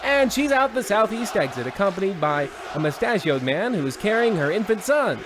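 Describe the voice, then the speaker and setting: A man playing an excitable old-time baseball radio announcer with a loud, mocking delivery. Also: high-pitched, fairly quick, crowd noise, background noise. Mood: excited, mocking. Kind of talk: mock old-time sports radio commentary.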